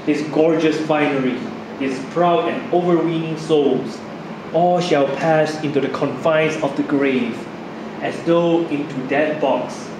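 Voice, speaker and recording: A man's voice: fairly high pitch, steady tone, measured pace. A man narrating a religious story from a script in a solemn, formal read-aloud manner. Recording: very echoey, noisy room, distant mic.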